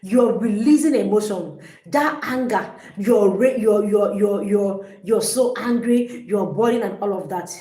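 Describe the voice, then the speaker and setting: A woman giving an energetic, emphatic motivational talk as a relationship coach, often repeating her points. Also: mid-range voice, measured pace. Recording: echoey room.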